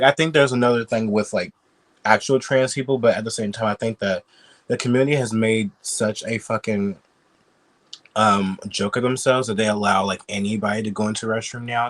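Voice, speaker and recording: A man's voice: on the low side, fairly even intonation, fairly quick, fairly bright tone. A man who is sick and sniffly, chatting bluntly and casually as a YouTube reaction commentator. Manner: chatty, blunt, swears freely.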